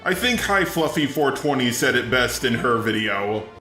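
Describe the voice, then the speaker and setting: A man's voice: mid-range voice, expressive, moderate pace, bright, forceful tone. Male text-to-speech commentator, robotic delivery, roomy echo, music underneath. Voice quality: synthetic robot voice. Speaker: commentary video host. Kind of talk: scripted video commentary.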